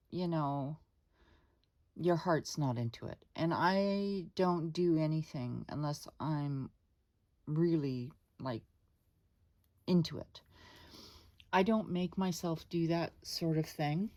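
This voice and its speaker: A woman hosting her own art channel, chatting casually at her dining table. She rambles with many fillers and asides to viewers, her voice lower than usual today. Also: darkish tone. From Canada. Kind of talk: casual unscripted chat. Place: home dining room table.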